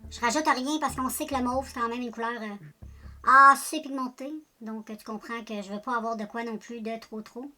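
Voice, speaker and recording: A woman's voice: fairly high pitch, fairly even intonation, normal speed, bright, forceful tone, low-quality recording. A woman with a Quebec French accent chatting casually through a makeup tutorial, rambling and joking at her own expense while speaking to her viewers. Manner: chatty, rambling, self-deprecating, talks directly to viewers. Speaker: beauty vlogger.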